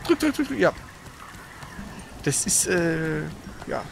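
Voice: medium pitch, sing-song, takes it slowly, creaky, a little hiss or hum, low-quality recording. A player in a casual let's play, teasing and bossing a partner around with blunt, slangy exclamations. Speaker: gaming video host, co-op player.

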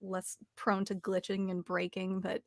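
A woman's voice: mid-range voice, completely flat delivery, a little slow, vocal fry, no echo, clean studio sound. A young adult woman, chatting casually as an interview guest. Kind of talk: casual chat.

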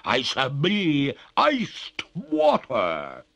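Man voicing a high, drawn-out ghostly lady. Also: wildly swooping pitch, creaky, a bit bright, exaggerated voice. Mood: threatening. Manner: formal, ornate, drawn-out speech. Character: female water ghost, a genteel, pleading, indignant lady spirit.

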